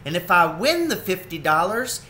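A man's voice: sits high, very animated, moderate pace, pushed and bright, a little hiss or hum, voice pushed up, heavily acted. A man voicing a determined, hopeful otter mother from a storybook, with a homespun, plucky manner. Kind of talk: storybook character reading.

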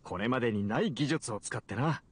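A man's voice: medium pitch, expressive, brisk, breathy edge. A man voicing an ambitious, obsessive young researcher in an anime game, speaking in a theatrical, grandiose way. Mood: fervent, grandiose. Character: ambitious, obsessive male researcher talking to his robot.

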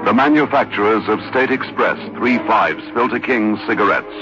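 A man's voice: on the low side, moderate pace. A man announcing in an old-time radio sponsor style, with a polished, dramatic delivery. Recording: dull old radio recording with music.

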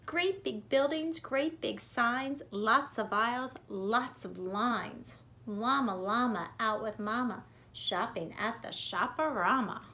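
Woman, forties, high voice, slow rhythmic storybook reading for young children, noisy home recording. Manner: expressive, rhythmic, deliberate delivery for young children. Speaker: preschool teacher reading aloud. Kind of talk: children's picture book read-aloud. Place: bedroom at home.

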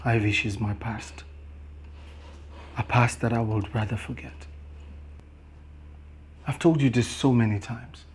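An actor playing a placating, smooth-talking boyfriend in a screen drama. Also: male voice, medium pitch, measured pace, long pauses, slight background noise, lightly acted. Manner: placating, coaxing.

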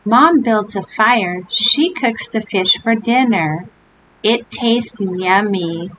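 An older woman reading a picture book aloud to young children, with gentle chatty asides. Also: medium pitch, slow pace, poor audio. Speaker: storybook reader. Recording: home recording with steady hiss.

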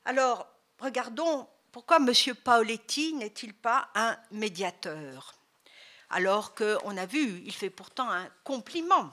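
A middle-aged woman giving an academic lecture at a conference, speaking in a measured way with many hesitation sounds and rhetorical tags. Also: on the high side, animated, a bit bright. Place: lecture hall.

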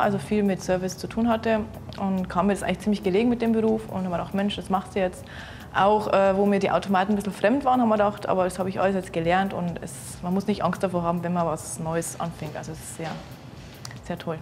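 A woman's voice: mid-range voice, steady tone, fast talker, darkish tone, a little hiss or hum. A woman in her thirties from Bavaria, chatty and enthusiastic in an interview. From Bavaria, Germany. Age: 30s. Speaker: trained service worker. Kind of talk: interview statement.

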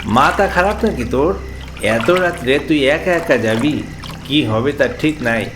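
A man playing a cautious, bossy older brother from a village, worried and chiding, in an animated ghost story. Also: mid-range voice, unhurried, background noise, natural voice. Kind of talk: animated horror story character dialogue.

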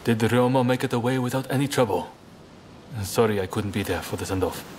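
A man's voice: mid-range voice, a little mellow, slight background noise, natural voice. A man playing a composed, courteous samurai statesman who speaks formally in a video game cutscene. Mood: earnest, grave. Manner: formal, deliberate.

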